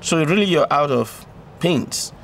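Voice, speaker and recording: A man's voice: on the high side, lively intonation, measured pace, creaky, a little hiss or hum. A man giving an informal electronics tutorial, explaining step by step and repeating phrases for emphasis. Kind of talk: informal explanatory tutorial, talking through a diagram.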